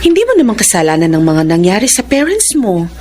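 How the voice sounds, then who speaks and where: A woman acting a gentle, reassuring doctor and family woman in a Filipino radio drama, speaking soothingly and mixing in English. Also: medium pitch, sing-song, brisk, natural voice. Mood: gentle, consoling. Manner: soothing, mixes Tagalog with English. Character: gentle, reassuring woman doctor, devoted wife, daughter and mother.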